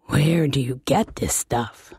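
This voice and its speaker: A woman voicing a bored, cheeky male laundry demon servant in a comic fantasy audiobook, deadpan and formally insolent. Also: a bass voice, slow, creaky, breathy edge, dry recording, clean studio sound, full character voice. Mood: sardonic.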